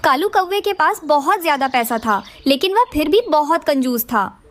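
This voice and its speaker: A woman's voice: high-pitched, animated, moderate pace. A woman reading scripted narration for a children's moral-story cartoon.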